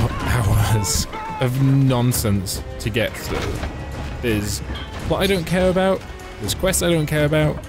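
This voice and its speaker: A man chats through a video game with a wry, mocking edge, poking fun at its plot and quests. Loud game music plays under his voice the whole time. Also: mid-range voice. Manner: wry, mocking, chatty asides. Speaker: let's play host. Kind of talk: sarcastic gameplay commentary.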